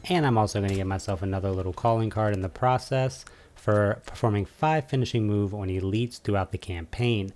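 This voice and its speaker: A man's voice: fairly low pitch, brisk, few pauses, a little mellow, a little hiss or hum. A man giving a casual, easygoing video game walkthrough as an instructional guide.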